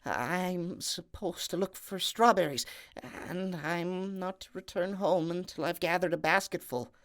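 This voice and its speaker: A man doing a high, tearful young maiden. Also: measured pace, fairly bright tone. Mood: meek. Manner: high, pleading voice.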